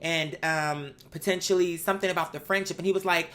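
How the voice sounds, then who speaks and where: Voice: mid-range voice, steady tone, brisk, fairly bright tone. A host telling a story in a casual monologue, with a sassy, candid, profane and emphatic manner. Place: bedroom. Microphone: microphone.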